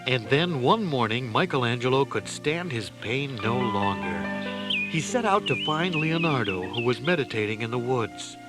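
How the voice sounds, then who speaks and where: A man narrating a children's adventure story in a deliberate, theatrical style. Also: mid-range voice, very animated. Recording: loud music behind the voice.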